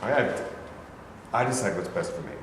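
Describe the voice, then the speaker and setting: A man's voice: fairly low pitch, fairly quick, heavy background noise, natural voice. An actor in his thirties in a staged play reading, playing a bitter, defensive former boxer. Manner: defensive, flares up angrily. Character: bitter former boxer, a young man gone stale. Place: large rehearsal studio. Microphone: far camera. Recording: roomy echo from a far camera.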